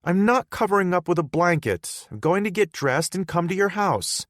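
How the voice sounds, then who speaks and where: A man's voice: high voice, lively intonation, normal speed, fairly bright tone, no echo, clean studio sound, voice pushed up. A man voicing a playful, teasing young woman in an audiobook, raising his voice to sound bubbly and pleading. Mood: indignant. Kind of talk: audiobook character voice.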